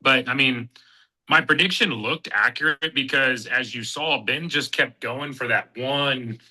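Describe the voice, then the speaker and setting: A man's voice: medium pitch, a little slow, bright, forceful tone, poor audio. A man in his thirties from Kansas City, a fighter chatting casually as an interview guest, rambling with fillers and restarts. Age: 30s. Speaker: guest, bare-knuckle fighter.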